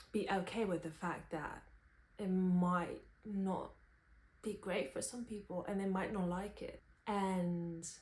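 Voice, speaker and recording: A young woman talks candidly about herself, unscripted. Her voice sits slightly low and she moves very slowly, in thoughtful, halting phrases. The recording has a clearly echoey, roomy sound. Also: darkish tone. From Romania. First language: Romanian. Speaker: vlogger, singer-songwriter. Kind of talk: unscripted personal reflection.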